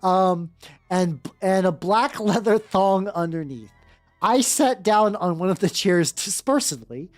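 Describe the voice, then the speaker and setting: A woman's voice: medium pitch, expressive, measured pace, a little mellow. A woman streamer with a playful, joking manner, chatting casually and reading fanfiction aloud for comic effect. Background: background music.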